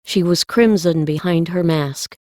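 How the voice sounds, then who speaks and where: A woman's voice: on the low side, a little slow, a little mellow, dry recording, studio quality. A woman narrating a scripted story as an audiobook reader.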